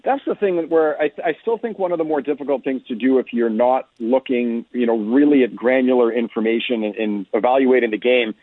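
A man giving conversational sports analysis as a guest, explaining in a measured way over a remote call-in line. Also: mid-range voice, dry recording. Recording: tinny phone-like radio line.

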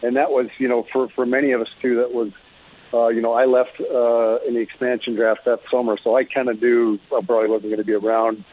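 A middle-aged or older man, a former athlete as interview guest, talking reflectively and haltingly in a casual sports chat. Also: mid-range voice, level tone, no echo. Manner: halting, thoughtful, repeats words. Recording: muffled, lo-fi call audio.

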